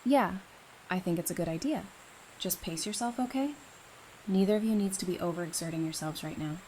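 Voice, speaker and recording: An actress playing a supportive woman doctor in an audio drama, speaking informally with gentle teasing and reassurance. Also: on the low side, fairly quick, slight background noise, lightly acted, rough recording.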